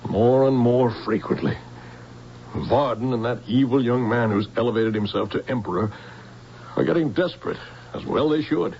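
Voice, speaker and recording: An actor playing a weary, bitter old professor in a vintage radio drama, with wry, oratorical delivery. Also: male voice, mid-range voice, normal speed, darkish tone, lightly acted. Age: older. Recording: muffled, hissy old radio recording.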